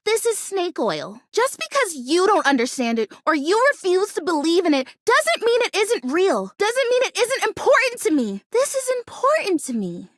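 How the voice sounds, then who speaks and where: A woman's voice: extremely high pitch, fairly bright tone, no echo, studio quality, exaggerated voice. A woman voicing an earnest, hopeful plastic plant girl who longs to be real, a video game character with a dramatic, childlike way of talking. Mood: indignant, defensive. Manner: earnest, dramatic, childlike phrasing and mild insults.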